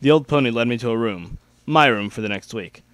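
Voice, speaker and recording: A man's voice: mid-range voice, brisk, a bit bright, dry recording. A young man giving an amateur dramatic reading of a first-person story.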